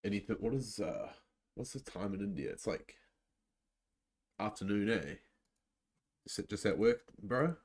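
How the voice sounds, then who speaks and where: Young male Kiwi streamer, relaxed chatty delivery, slightly low voice, New Zealand accent. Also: measured pace, long pauses, husky. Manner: laid-back, chatty, restarts often. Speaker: live stream host. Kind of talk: casual live reaction commentary.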